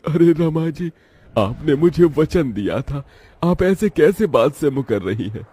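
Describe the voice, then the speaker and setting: A man's voice: fairly high pitch, lively intonation, unhurried, mellow, husky, pitched above the performer's own voice. A man voicing a poor, humble old village father in a cartoon story, earnest and pleading in manner.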